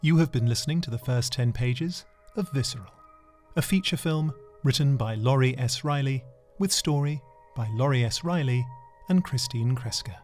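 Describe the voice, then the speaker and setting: A man announcing the closing credits of an audio drama in a formal, unhurried way. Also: medium pitch, a little mellow, faint music behind.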